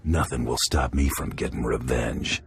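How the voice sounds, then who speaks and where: A terse, cocky bounty hunter. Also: male voice, a bass voice, fairly even intonation, normal speed, a little hiss or hum. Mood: deadpan. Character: cocky hard-bitten male bounty hunter. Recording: music behind.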